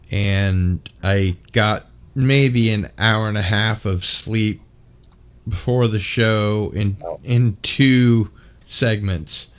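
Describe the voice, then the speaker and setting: Tired male livestream host with a low voice, slow and halting, full of fillers. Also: clean tone. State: tired from little sleep. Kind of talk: casual online panel chat.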